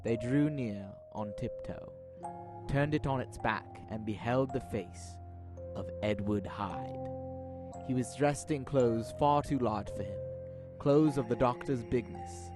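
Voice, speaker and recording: A man's voice: medium pitch, unhurried. Young man narrating drama over music. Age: young adult. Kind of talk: scripted dramatic narration of a classic tale.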